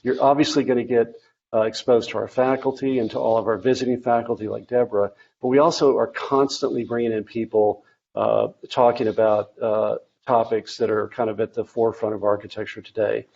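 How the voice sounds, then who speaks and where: A man from the architecture faculty giving a virtual open house presentation, talking haltingly with many fillers and restarts. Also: on the low side, fairly even intonation, gravelly, a little mellow, breathy edge, highs cut off. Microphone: video call.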